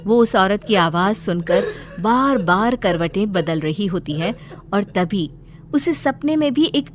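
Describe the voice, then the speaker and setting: A woman's voice: mid-range voice, slight background noise. A woman reading a scripted horror story as its narrator.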